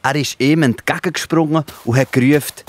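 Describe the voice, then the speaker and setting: A man's voice: a little high, brisk, no echo. A man with a Swiss German accent narrating a scripted Bible story for children.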